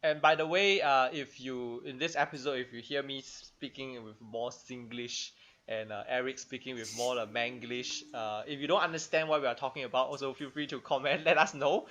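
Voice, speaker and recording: A man's voice: medium pitch, fairly even intonation, normal speed, a bit bright. Singaporean man hosting, dull lo-fi sound. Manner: chatty, laughing, filler-heavy. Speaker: host. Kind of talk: casual hosting chat.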